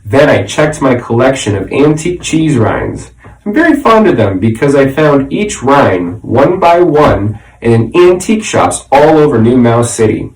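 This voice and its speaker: A man reads a children's story aloud with very lively, expressive intonation. He sounds slightly away from the microphone, in an echoey room. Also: medium pitch, measured pace. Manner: expressive, dramatic. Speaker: reader, teacher. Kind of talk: animated children's storybook narration. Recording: roomy echo, slightly distant mic.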